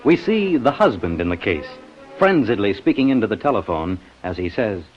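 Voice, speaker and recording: A man's voice: on the low side, sing-song, moderate pace, lo-fi sound. A man narrating a 1950s radio detective drama as its dramatic announcer. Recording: old band-limited radio recording, music behind.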